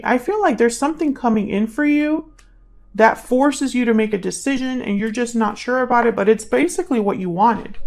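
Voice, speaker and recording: A woman's voice: fairly high pitch, vocal fry, a little mellow. A woman giving a casual, intuitive tarot reading as a channel host, musing aloud and sometimes trailing into murmured questions.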